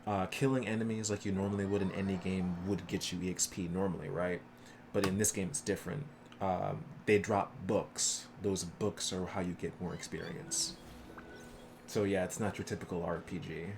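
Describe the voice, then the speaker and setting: A man's voice: sits low, fairly even intonation, moderate pace, noisy background. A man doing casual live commentary as a game streamer, explaining things in an easygoing way.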